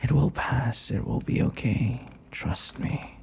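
A man playing a possessive, taunting vampire captor in an intimate ASMR roleplay, his breathy voice often dropping into whispers. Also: mid-range voice, darkish tone, slight background noise. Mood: soothing. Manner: taunting, slow, drawn-out endearments. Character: possessive, obsessive male vampire captor and would-be lover. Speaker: audio roleplay voice actor.

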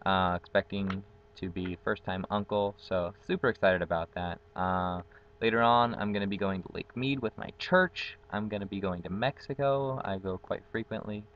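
A man's voice: fairly low pitch, steady tone, moderate pace, highs cut off, slight background noise. A young man from Guatemala, a native Spanish speaker, introducing himself in a casual, upbeat vlog full of ums, filmed close on a webcam outdoors. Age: young adult. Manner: chatty, upbeat, many ums. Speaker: college dorm community facilitator. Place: outdoors on a patio with trees. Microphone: built-in webcam mic, close framing.

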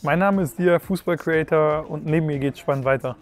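A young man hosting a football show in an indoor hall, talking in an animated, joking way. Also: on the high side, brisk, soft background music. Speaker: host. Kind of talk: excited casual chat.

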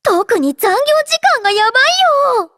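Playful robot girl, anime game voice, extremely high-pitched, cutesy and teasing, swooping intonation, dry close recording. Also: sing-song, normal speed, bright, forceful tone, no room sound, exaggerated voice. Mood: caring. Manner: cutesy, refers to herself in third person, teasing. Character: playful, affectionate childlike robot girl, mischievous and eager. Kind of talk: anime game character voice lines.

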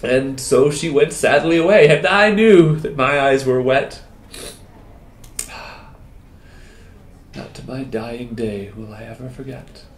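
A man in his thirties voicing a wistful, lovestruck wounded soldier in a theatrical, halting dramatic poetry recital with expressive pauses. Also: mid-range voice, natural voice. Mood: awed, moved. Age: 30s. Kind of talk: dramatic poetry recital, first-person monologue. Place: small indoor room with hard walls. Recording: roomy echo, music behind.

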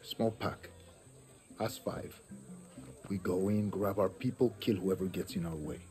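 An actor playing a gruff, commanding middle-aged guerrilla chief in a game cutscene. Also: male voice, low voice, fairly even intonation, measured pace, a little mellow, soft background music. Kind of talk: dramatic video game cutscene acting.

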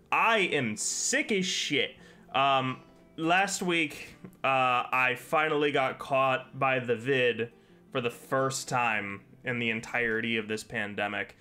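A man's voice: mid-range voice, sharp tone. Stuffy-nosed young man chatting over game. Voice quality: sweary, rambling. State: stuffy, congested from a cold. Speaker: streamer. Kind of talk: casual gaming commentary. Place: bedroom game room. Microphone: headset. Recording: game music behind.

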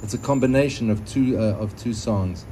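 A middle-aged man with a South African accent, a singer-guitarist speaking thoughtfully and haltingly to an audience between songs, through a microphone outdoors. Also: lowish voice, mellow, a little hiss or hum. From Johannesburg, South Africa. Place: outdoors under a canopy in a concrete yard. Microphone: stage microphone through a PA, filmed from a few metres.

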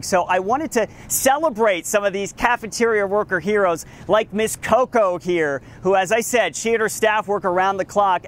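An enthusiastic male field reporter with a fairly high voice, talking live outdoors in front of a school. He sounds upbeat and chatty, picked up on a lapel mic. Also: slight background noise.

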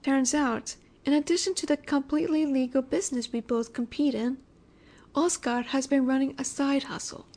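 High-voiced, flirty female mafia boss, acted by a woman. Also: steady tone, darkish tone. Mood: composed, sly. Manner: coy, teasing, deliberate, sometimes breathy. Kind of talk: scripted audio roleplay, addressing the listener.

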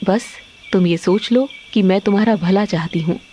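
Woman acting as spirit mother, cartoon, calm, reassuring, lower register, faint noise. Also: moderate pace, natural voice. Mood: calm, coaxing.

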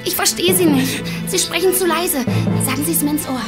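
An actress playing a composed young blind nurse with a soothing, deliberate way of talking and a hidden cold streak, in a German audio drama. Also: female voice, very high-pitched. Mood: urgent. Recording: music behind the voice.

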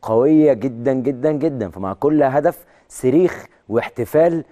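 An Egyptian man in his thirties delivers a sports news monologue with a hoarse, mellow voice. He talks emphatically and pushes his pitch up on key words. He speaks standing in a large TV studio on a lapel mic. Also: normal speed. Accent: Egyptian Arabic. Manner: emphatic, rising to excited peaks on key words. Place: large TV studio with video wall.

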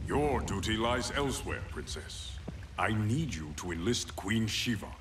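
A noble warrior emperor in a fantasy game. His voice is deep and his delivery slow, grave and regal, each phrase formal and weighed. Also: animated, a little hiss or hum. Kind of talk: video game cutscene acting.

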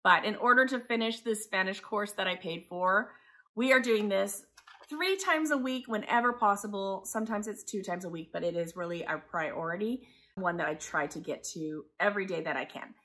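Bright-voiced woman chatting casually. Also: mid-range voice, normal speed. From Taiwan. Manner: rambling, conversational. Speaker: homeschooling mother, vlogger. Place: living room by a window, indoors.

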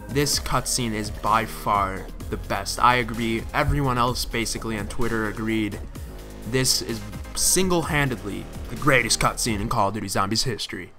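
A man giving casual countdown commentary as the host of a gaming channel, speaking in voice-over. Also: mid-range voice. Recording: music under the voice. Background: music.

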